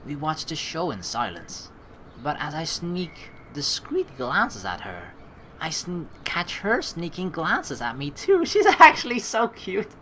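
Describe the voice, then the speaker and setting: A woman's voice: deep voice, very animated, a little slow, a bit bright, slight background noise. A young woman reading a visual novel aloud and adding playful, chatty commentary between lines. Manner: playful, giggly, chatty asides. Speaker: let's play streamer.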